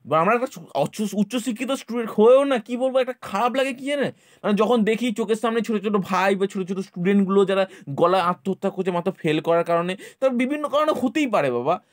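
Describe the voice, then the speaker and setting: A man with a West Bengal Bengali accent speaks to students as a tutor-presenter. His voice sits fairly high and he talks very quickly, insistently repeating himself. The sound is close and dry. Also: animated, no room sound. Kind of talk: casual exhortation to students.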